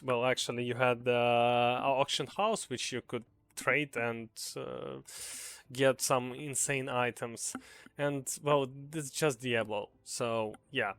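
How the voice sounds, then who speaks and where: A man's voice: mid-range voice, a bit bright, smooth, dry recording. A young man with a Ukrainian accent giving a casual, earnest gaming commentary, hesitant with many fillers and restarts. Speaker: gaming video creator.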